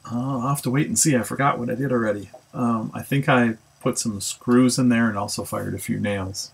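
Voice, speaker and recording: Male shop teacher, raspy low voice with heavy fry, easygoing, self-correcting narration. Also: normal speed, low-quality recording. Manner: relaxed, thinks aloud with many ums and self-corrections. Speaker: woodworking instructor. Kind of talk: casual how-to narration. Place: home woodshop.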